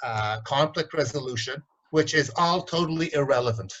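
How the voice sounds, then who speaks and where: Blunt, animated man on a lo-fi call. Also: medium pitch, moderate pace, a little hiss or hum. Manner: blunt, animated, mimics voices in stories. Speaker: organisation development consultant. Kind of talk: candid interview storytelling.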